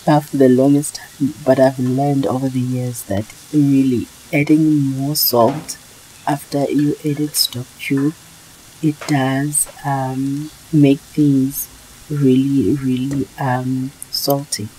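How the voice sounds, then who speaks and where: Very low-voiced, slow-talking woman vlogger. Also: flat delivery, warm tone, low-quality recording. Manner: hesitant, halting delivery with frequent pauses. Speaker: cooking vlogger. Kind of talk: casual cooking demonstration. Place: home kitchen, indoors. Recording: clear frying sizzle and stirring noise. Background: food sizzling and being stirred.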